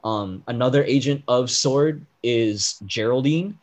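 Man, podcast co-host, casual chat, lots of "like" and "um", rambling explainer, mid-pitched voice. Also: unhurried. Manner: rambling, heavy on "like" and "um" fillers; gets loud and animated when riled up. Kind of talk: casual pop-culture chat.

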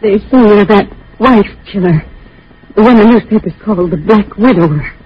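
A woman's voice: mid-range voice, normal speed, close to an everyday voice. An actress playing an anxious, insistent female lodger in a vintage radio drama, urgent and hesitant. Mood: tense, curious. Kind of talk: 1940s radio acting. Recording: muffled, noisy old radio recording.

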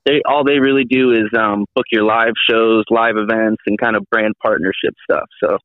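A man's voice: lowish voice, steady tone, dry recording. Rambling young man on a tinny line. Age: 20s. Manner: rambling, halting, with many restarts and fillers. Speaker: guest. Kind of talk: casual podcast chat.

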